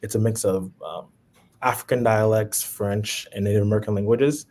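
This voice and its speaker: A man in his late twenties with a Haitian accent, a podcast guest chatting in a relaxed way with frequent ums. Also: lowish voice, moderate pace. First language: Haitian Creole. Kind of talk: casual chat.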